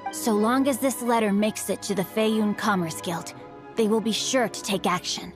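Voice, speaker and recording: A woman's voice: mid-range voice, steady tone, normal speed, over music, natural voice. A woman voicing a formal, chivalrous young male swordsman who speaks in a courtly, evasive manner. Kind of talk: game voice acting.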